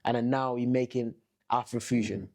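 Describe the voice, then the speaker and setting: A man's voice: mid-range voice, little pitch movement, moderate pace, darkish tone. A man hosting a casual interview with a mixed accent. He is chatty and leans heavily on "you know". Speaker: host.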